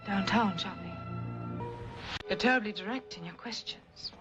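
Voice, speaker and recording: An actress playing a poised, enigmatic, politely guarded wife in a 1950s film drama, with refined, formal phrasing. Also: female voice, mid-range voice, moderate pace, natural voice. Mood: coolly curious. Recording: muffled old film soundtrack under loud music.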